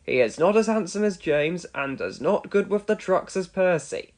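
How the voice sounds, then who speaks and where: A man voicing a kindly, authoritative gentleman railway boss in a children's storybook, with measured reassurance. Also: sits high, animated. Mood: pleased, praising.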